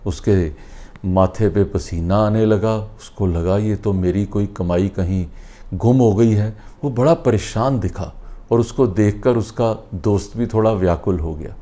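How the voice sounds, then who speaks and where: A man with an Indian accent telling an inspirational moral story in an unhurried, explanatory, conversational way. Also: lowish voice, dark tone.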